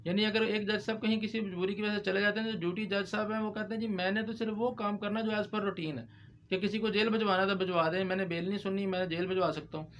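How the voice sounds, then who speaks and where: High-voiced man explaining law over noise. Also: fairly even intonation, fast, a bit bright. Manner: code-switches into English legal terms. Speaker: lawyer explaining case procedure. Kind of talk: explanatory legal discussion. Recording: clear background noise.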